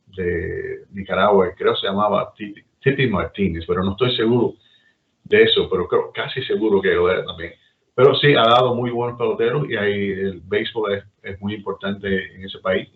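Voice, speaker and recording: A man's voice: on the low side, normal speed. Cuban man, tinny phone-line sound. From Cuba. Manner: storytelling, rambling with restarts. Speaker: guest. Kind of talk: casual chat.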